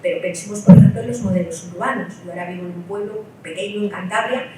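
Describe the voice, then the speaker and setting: A woman's voice: medium pitch, fast talker, warm tone, slight background noise. A woman from Spain giving a public lecture at a political meeting. She explains her points step by step and often checks in with the audience through short rhetorical tags. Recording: echoey hall, mic at a distance.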